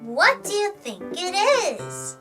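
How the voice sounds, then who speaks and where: A woman's voice: very high-pitched, lively intonation, slow pace, over loud music. A woman hosting a playful children's English storytime, with exaggerated, sing-song delivery, repeating words for young learners.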